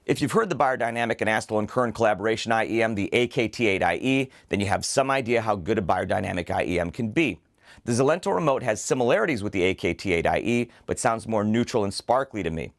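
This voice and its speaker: A man's voice: on the low side, animated, fast talker. Man presenting a scripted product preview. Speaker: host and headphone reviewer.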